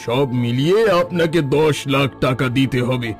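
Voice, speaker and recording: A man voicing a greedy, cunning village headman who smooth-talks and schemes in a cartoon story. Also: mid-range voice, normal speed, lightly acted. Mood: flattering. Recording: soft background music.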